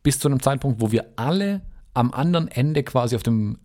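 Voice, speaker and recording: A man from the US tells a trip story in a loose, rambling way, filling pauses with hesitations. His voice sits at an ordinary middle pitch, relaxed and conversational. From United States. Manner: rambling, chatty, many fillers. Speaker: podcast guest. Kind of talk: casual travel storytelling.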